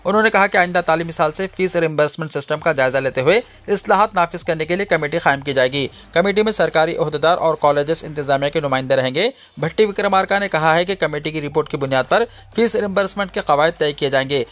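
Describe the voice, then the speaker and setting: Male news reader, slightly high-pitched voice, formal delivery of scripted bulletins. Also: fast, hardly any pauses, a bit bright.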